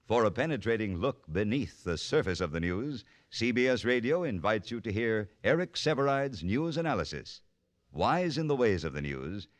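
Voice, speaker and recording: A man reading a scripted 1950s radio promotional announcement in a polished, formal, emphatic manner. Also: mid-range voice.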